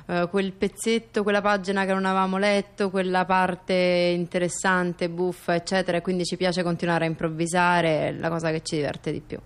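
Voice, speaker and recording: A woman's voice: fairly low pitch, flat delivery, brisk, hardly any pauses. A woman, a theatre performer, talking casually as an interview guest.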